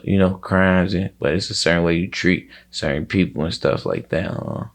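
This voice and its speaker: A man talks casually as an interview guest, his voice deep and full of gravelly creak. He rambles in thick slang and often circles back over the same words. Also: moderate pace. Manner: slangy, rambling, repeats himself.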